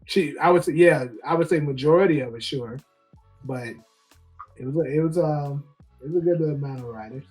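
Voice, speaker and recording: A man co-hosting a casual TV show discussion, relaxed and quick to laugh, reading out viewer comments. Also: on the high side. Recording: faint music behind.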